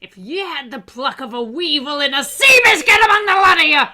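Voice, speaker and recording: Radio play villain: a woman as blind bullying old buccaneer, very high, piercing, shouted delivery. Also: animated, fairly quick, full character voice. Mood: furious, bullying. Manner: archaic sailor slang, haranguing. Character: blind old pirate Pew, a ranting bullying man.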